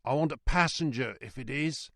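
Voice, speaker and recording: A man puts on a rough rider bringing messages in a storybook. The voice is hoarse and coarse, recorded close and dry. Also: medium pitch, moderate pace, a bit bright, dry recording, natural voice. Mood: calling out. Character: hoarse, rough male messenger on horseback. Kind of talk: dramatised storybook reading.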